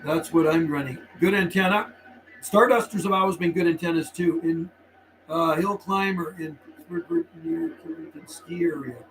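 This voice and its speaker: A man's voice: fairly high pitch, fairly bright tone, rough recording. A middle-aged Canadian man hosting a casual live radio stream, chatting in a friendly, laid-back way and calling out on CB channels. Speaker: livestream host and CB radio operator. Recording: clear background noise, radio ambience. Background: CB radio noise and ambience.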